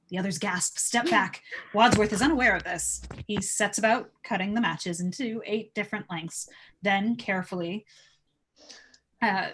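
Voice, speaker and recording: A woman's voice: medium pitch, a little hiss or hum, rough recording. A woman reading stage directions as scripted narration at a table read.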